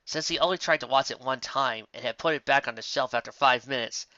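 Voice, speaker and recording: An amateur reader narrating a fan fiction story in an animated way, close to the microphone. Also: medium pitch, brisk, dry recording. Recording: slightly dull, close dry recording.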